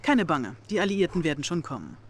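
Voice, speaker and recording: An actress playing a young, cool and calculating Soviet intelligence officer in a video game cutscene, composed and assertive. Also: female voice, low voice, very animated, brisk, husky, full character voice. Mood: cool, confident. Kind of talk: video game cutscene acting, German dub.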